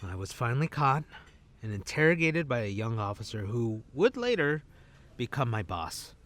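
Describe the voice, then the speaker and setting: A man's voice: medium pitch, big pitch swings, normal speed, a bit bright. An actor playing a brash, wisecracking male secret agent with a street past, dramatic and slangy in an audio drama. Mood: guarded, confiding.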